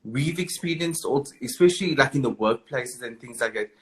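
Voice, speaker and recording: A man's voice: medium pitch, animated, moderate pace, fairly bright tone. A man, a guest in a live video interview, talking emphatically and encouragingly. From South Africa. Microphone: video call.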